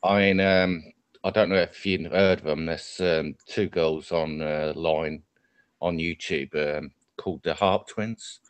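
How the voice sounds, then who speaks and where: A man's voice: low voice, fairly even intonation, unhurried, smooth, dry recording. A Norwegian man with a slight British accent, chatting casually and hesitantly with fillers. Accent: slight British English. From Norway. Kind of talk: casual chat.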